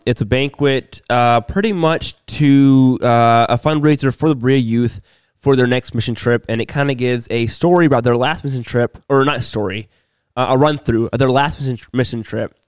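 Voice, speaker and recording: A man's voice: medium pitch, normal speed, clean tone, no room sound. A man on a podcast, sick with a sore throat, telling a long story in a rambling way with lots of restarts. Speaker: podcast co-host. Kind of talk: casual chat.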